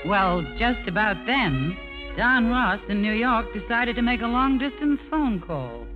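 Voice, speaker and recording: Female narrator: plain-talking American, matter-of-fact, old radio play, music clearly underneath, slightly muffled. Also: fairly high pitch, lively intonation, measured pace. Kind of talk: 1950s radio drama narration.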